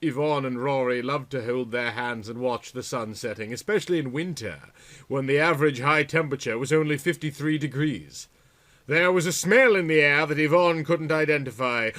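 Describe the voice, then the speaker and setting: A man's voice: medium pitch, moderate pace, fairly bright tone. A man reading a story aloud in a theatrical, comic style with exaggerated, melodramatic delivery. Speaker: audiobook reader.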